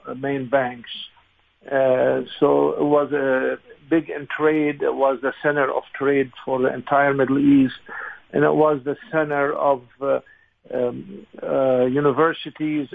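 Over a thin, phone-like line comes an older Lebanese-accented man in his sixties. His voice is gravelly and creaky at a middling pitch, and he answers conversationally, halting often as he searches for the next phrase. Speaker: interview guest, congressional candidate. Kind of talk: conversational interview, recollection. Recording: narrow, tinny phone-like line.

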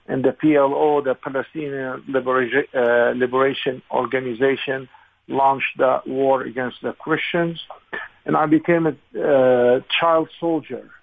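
A man in his sixties from Lebanon, with a Lebanese accent, speaking haltingly as an interview guest over a narrow phone-like line. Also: mid-range voice, little pitch movement, gravelly. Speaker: interview guest, congressional candidate. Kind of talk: conversational interview, recollection.

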